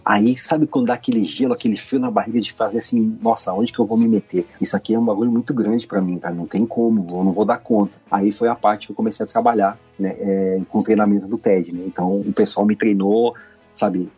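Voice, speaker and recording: A man talking as a podcast guest, telling his story in a lively, casual way and often calling his host "cara". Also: on the low side, quick pace, husky, lo-fi sound, faint music behind. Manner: animated storytelling, peppered with "cara".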